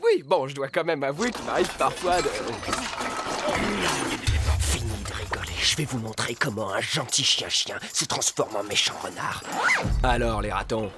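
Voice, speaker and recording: A man's voice: medium pitch, expressive, moderate pace, very noisy, close to an everyday voice. A man voicing a cocky, easily rattled fox who poses as a pet dog in a French children's cartoon, with exclamatory delivery. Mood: irritated, swaggering. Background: cartoon music.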